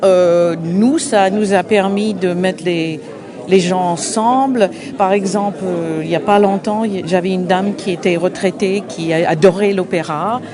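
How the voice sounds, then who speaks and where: A middle-aged woman, an English speaker, answering interview questions at an open day, halting and searching for words with frequent restarts. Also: on the low side, fairly even intonation. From United States. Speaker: association representative. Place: busy open-day event. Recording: crowd noise behind. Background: crowd chatter.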